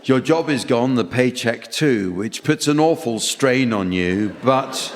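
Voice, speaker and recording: A man chairing a comedy radio panel game, reading out verses and jokes in a dry, deadpan way. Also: medium pitch, a little slow. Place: theatre stage before an audience. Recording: noisy hall with long reverb.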